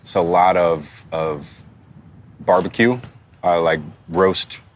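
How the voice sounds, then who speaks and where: Very deep-voiced man in casual podcast chat. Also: steady tone, slow, slight background noise. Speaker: podcast co-host.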